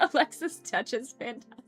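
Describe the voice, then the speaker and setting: A woman's voice: sits high, expressive, measured pace, a bit bright. A young woman hosting a casual coworking stream, reading viewer comments aloud, chatty with frequent laughs and ums. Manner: chatty, laughs often, many ums and restarts. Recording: faint background music. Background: faint music.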